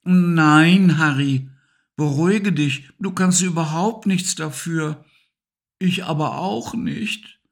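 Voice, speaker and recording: A man voicing a calm, gentle male nocturnal animal in a storybook reading, patient and soothing. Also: on the high side, takes it slowly, vocal fry, pitched above the performer's own voice, full character voice.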